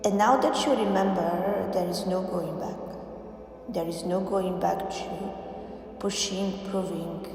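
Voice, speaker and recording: A woman with a slightly low voice narrates a guided meditation very slowly. She places each phrase deliberately and leaves frequent pauses. Her voice rings in a heavy, long reverb over faint music. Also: steady tone, a little mellow. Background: faint background music.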